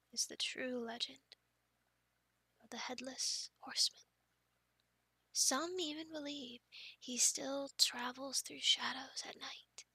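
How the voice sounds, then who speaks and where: A young woman with a high, very bright voice performs ASMR roleplay. She has a playful, girlish delivery, recorded close and dry. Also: creaky, slightly rough, dry recording, low-quality recording. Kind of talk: ASMR roleplay, whispered storytelling and casual chat.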